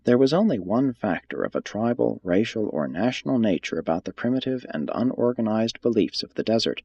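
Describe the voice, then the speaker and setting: Low, slightly husky male audiobook narrator. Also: a little mellow. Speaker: reader of a religious text.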